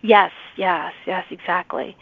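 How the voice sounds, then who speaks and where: A woman's voice: on the low side, steady tone, slow, creaky. A woman hosting a conversational interview in an affirming, encouraging manner. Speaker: podcast host, interviewer. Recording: narrow phone-like audio.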